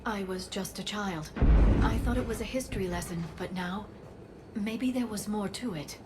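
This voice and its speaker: A woman's voice: medium pitch, moderate pace, natural voice. A woman voicing an earnest, worried alien scholar in a video game, with ambient noise behind her.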